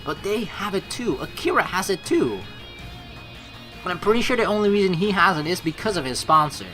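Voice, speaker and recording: Excitable lady gamer reading out a visual novel, lively pitch, swears a bit, soft music behind. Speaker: let's play streamer reading a visual novel aloud. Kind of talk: game playthrough reading with casual reactions.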